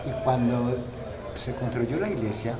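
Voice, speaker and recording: An older man from Paraguay, telling old stories in a rambling, halting way during a casual interview. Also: medium pitch, noisy background. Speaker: local guide and interviewee.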